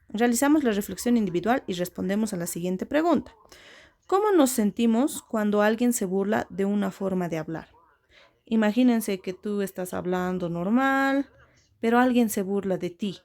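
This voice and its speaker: Gravelly-voiced woman teaching a class. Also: medium pitch, dark tone. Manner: patient, repeats and checks with "¿ya?". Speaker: schoolteacher. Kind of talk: classroom lesson to children.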